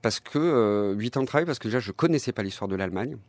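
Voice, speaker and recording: A man, a documentary filmmaker, answering interview questions in a thoughtful, halting way and repeating words. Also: on the low side, lively intonation, dry recording.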